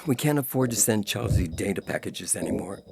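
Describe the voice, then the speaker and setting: A man playing a strained, worn-down engineer husband with a gravelly, husky voice in a dramatized story reading. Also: fairly low pitch, animated, brisk, slight background noise. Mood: weary, resigned.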